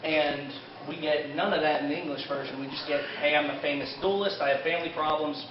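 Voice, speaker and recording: High-pitched man presenting in an echoey room. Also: fairly even intonation, brisk. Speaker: convention panel presenter. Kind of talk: informal fan panel lecture. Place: indoor convention panel room. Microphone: distant camera mic. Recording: echoey convention room, distant muffled camera mic, crowd. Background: audience crowd noise.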